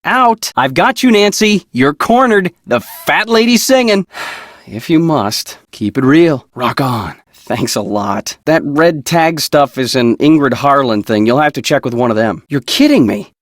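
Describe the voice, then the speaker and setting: A cocky slacker woodcarver for a mystery game, in a slightly high voice with a dry, close sound. He talks in flippant slang, with easygoing sarcasm. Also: expressive, unhurried, dry recording. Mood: flippant, dismissive.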